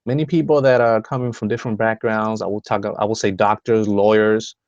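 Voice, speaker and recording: A man's voice: on the low side, steady tone, brisk, darkish tone, dry recording, muffled recording. A man from the Dominican Republic hosting a motivational video call, talking in a rambling way with many fillers and restarts. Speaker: host.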